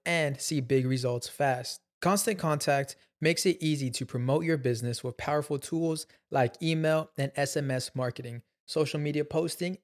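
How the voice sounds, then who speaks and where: Upbeat man reading an ad. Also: fairly high pitch. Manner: upbeat, polished. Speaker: ad announcer. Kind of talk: scripted ad read.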